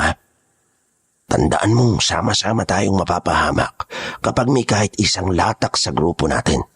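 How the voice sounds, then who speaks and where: A man playing a menacing older kidnapping gang boss in an audio horror drama, raspy, commanding and threatening. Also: low-pitched, exaggerated voice. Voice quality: raspy, gravelly.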